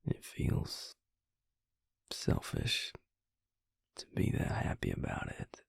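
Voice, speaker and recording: A man playing a brooding vampire maker in an intimate audio roleplay, speaking haltingly and reflectively in a husky, gravelly whisper. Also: no room sound, close to an everyday voice. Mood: uneasy, confiding. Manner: halting, reflective, low-key. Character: brooding male vampire maker confiding in his mate.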